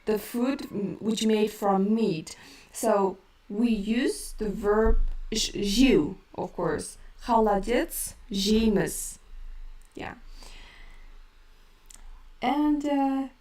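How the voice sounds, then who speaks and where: A woman with a Kazakh accent teaching her language in a live stream, speaking slowly with many hesitant fillers while she reads chat questions. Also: a little high, darkish tone, reverberant, far from the mic.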